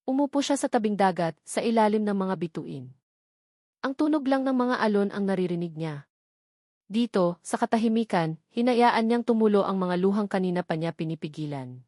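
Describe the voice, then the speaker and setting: A woman's voice: mid-range voice, animated, normal speed, warm tone, no echo. A woman tells a dramatic story in scripted narration, with a mid-range voice. The recording is very dry and close, with no room around the words.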